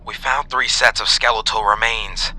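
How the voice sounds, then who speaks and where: A man's voice: on the low side, steady tone, bright, forceful tone, pitched above the performer's own voice, low-quality recording. A man playing an authoritative, matter-of-fact police officer on a call in a horror story. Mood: confused, grave. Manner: authoritative, brisk.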